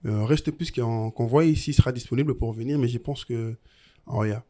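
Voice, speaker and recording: Man, twenties, musician guest, laid-back chat, mid pitch. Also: brisk. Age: 20s. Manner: relaxed, mixes in another language. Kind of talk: casual interview chat.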